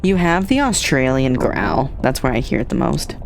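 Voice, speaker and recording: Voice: medium pitch, big pitch swings, normal speed, noisy background. A streamer hosting a live chat, rambling and restarting sentences in a casual, chatty way. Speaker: streamer, host.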